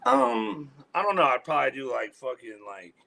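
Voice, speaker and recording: A man's voice: very animated, moderate pace, vocal fry, bright, forceful tone, poor audio. A man being interviewed as a guest musician, talking in a laid-back way, swearing a lot and trailing off into fillers. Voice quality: deep voice. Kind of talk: casual interview chat.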